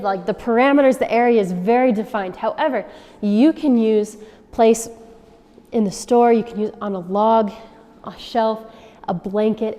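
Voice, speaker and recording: A woman dog trainer calmly explaining and demonstrating commands step by step. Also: medium pitch. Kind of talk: instructional demonstration. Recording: long reverb from a large room.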